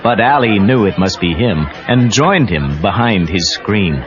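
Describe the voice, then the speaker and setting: A male narrator tells a children's read-along story in an animated, mid-pitched voice. The scripted reading sits over soft music on a slightly dull cassette recording. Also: unhurried, a crowd in the background.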